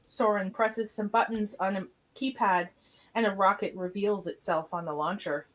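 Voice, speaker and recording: A woman's voice: mid-range voice, fairly even intonation, normal speed, slight background noise. A woman reading scripted stage directions as narrator of an amateur radio play.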